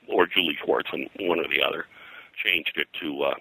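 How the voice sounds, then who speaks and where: An older man, a pop culture historian being interviewed, reminiscing in a halting, digressive way full of detail. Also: very deep voice, vocal fry, bright, forceful tone, no echo, rough recording. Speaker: pop culture historian and comics colorist, guest.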